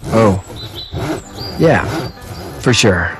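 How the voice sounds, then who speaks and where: A man's voice: fairly low pitch, animated, very slow, over music. An actor playing an earnest, uneasy young farm man in a video game, plainspoken and friendly. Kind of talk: naturalistic video game acting.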